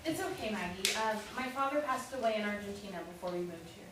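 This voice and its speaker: A woman's voice: medium pitch, lively intonation, fast, close to an everyday voice. A woman playing a polite, patient young guest in a live staged reading, hesitant and courteous. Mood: awkward, gentle. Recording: very echoey room, distant mic, hiss.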